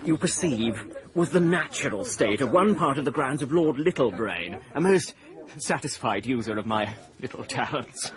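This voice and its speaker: A man's voice: mid-range voice, lively intonation, moderate pace, natural voice, rough recording. A man playing a smug, conceited landscape improver. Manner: condescending, chuckling, lecturing. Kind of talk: 1980s radio drama.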